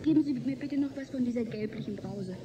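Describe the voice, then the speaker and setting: A woman's voice: on the high side, fast, over music, natural voice. A woman playing a pert, naive nineteen-year-old daughter in a radio drama. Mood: playful, pert. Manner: playful, pert. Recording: dull sound, clear background ambience.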